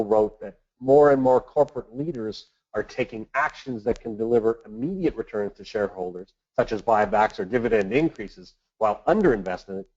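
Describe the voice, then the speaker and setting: A man with a fairly low voice delivers market commentary on a conference call. He speaks in a measured, formal way, as if working from prepared notes. The audio is somewhat dull and lo-fi. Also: a little mellow. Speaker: financial analyst hosting a market update.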